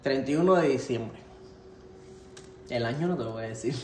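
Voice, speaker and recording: A man's voice: mid-range voice, moderate pace. Echoey young Cuban man, casual vlogger. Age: 20s. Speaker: cooking vlogger. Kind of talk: casual question-and-answer vlog. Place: home kitchen. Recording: echoey home kitchen, faint background noise.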